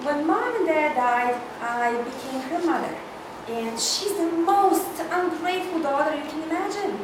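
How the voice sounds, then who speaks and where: A woman's voice: high-pitched, expressive, measured pace. A woman playing a haughty, ambitious, wealthy older sister in a live screenplay reading. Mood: disdainful. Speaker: actor. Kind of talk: cold-read screenplay scene reading. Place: large room at a live staged reading. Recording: echoey hall, distant mic, noisy.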